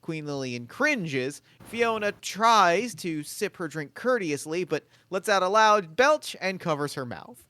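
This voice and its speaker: Animated male narrator, slightly high voice. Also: measured pace, hardly any pauses. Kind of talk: scripted narration of stage directions at a table read.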